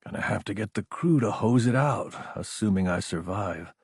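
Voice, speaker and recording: A man's voice: on the low side, expressive, normal speed, husky, no room sound, studio quality. A man voicing a young male mech company commander with a clipped, commanding military manner, in an audiobook. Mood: wry, muttering. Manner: clipped military radio orders.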